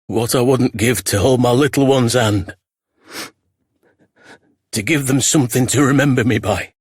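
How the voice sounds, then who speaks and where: An actor playing a dying, regretful adventurer father in a video game, speaking weakly in a husky, breathy voice with halting, tender solemnity. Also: mid-range voice, no room sound, clean studio sound. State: weak, dying. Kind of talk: video game dramatic voice acting.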